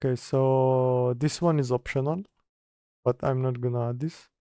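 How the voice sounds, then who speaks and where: A man presenting an informal software tutorial, halting as he reads steps from notes and mutters through them. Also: mid-range voice, fairly even intonation, smooth, no echo, clean studio sound. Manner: halting, mutters step by step while working. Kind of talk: informal screen-recorded software tutorial, partly read from notes.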